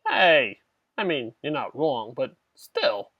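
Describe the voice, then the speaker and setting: Male actor as cowardly petty thug, flippant, slangy, swooping pitch, dry close recording, audio drama. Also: sing-song, slow, slightly rough, no echo, lo-fi sound, full character voice. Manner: slangy, flippant, whiny wise guy. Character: cowardly small-time crook, a dog thief for hire. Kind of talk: comic audio drama acting.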